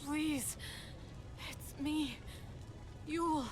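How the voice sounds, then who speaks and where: Devoted female knight, video game drama, earnest n solemn, highish voice, loud music under it. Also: steady tone, drawn-out words. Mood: desperate, despairing. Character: loyal, earnest, duty-bound female royal knight.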